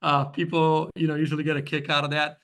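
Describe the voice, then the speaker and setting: A man's voice: on the high side, flat delivery, quick pace, a bit bright. A man, a guest weed scientist, giving a slide presentation in a webinar and explaining step by step with frequent "you know" and "right?" checks.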